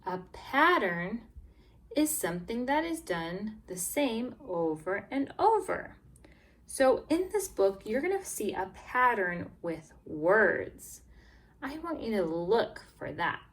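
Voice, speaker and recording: A woman teacher doing a read-aloud lesson for young English learners, expressive and encouraging, stressing key words and prompting listeners with questions. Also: mid-range voice, takes it slowly. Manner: expressive, exaggerated storytelling, emphasizing key words. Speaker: teacher reading a picture book to English language learners.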